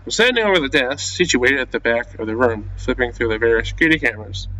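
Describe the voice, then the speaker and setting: A man's voice: medium pitch, animated, quick pace, pushed and bright, low-quality recording. A young man playing a game on video, reading its text aloud and reacting in casual, jumpy slang as he talks to himself. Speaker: gaming video creator.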